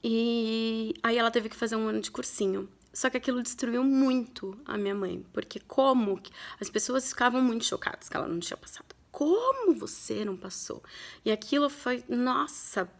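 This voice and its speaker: Woman in her thirties, from Brazil; candid, reflective vlogger telling a family story with frequent pauses. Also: fairly high pitch, expressive, creaky. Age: 30s. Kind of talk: personal storytelling to camera.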